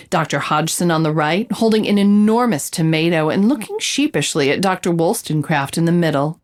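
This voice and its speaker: A woman playing a bold reporter, narrating a mystery in a measured, suspenseful storytelling style. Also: fairly low pitch, close to an everyday voice. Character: bold female holo-caster reporter recounting a mystery. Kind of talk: scripted dramatic narration over music. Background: prominent music.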